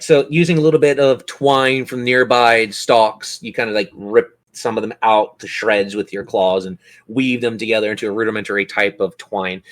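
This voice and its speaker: A man acting as game master, narrating and bantering in a theatrical, courteous way. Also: medium pitch.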